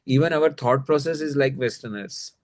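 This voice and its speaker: A man's voice: mid-range voice, normal speed, a little mellow. A man with an Indian accent teaching religion in a conversational, explanatory way, often adding "you know" as he goes.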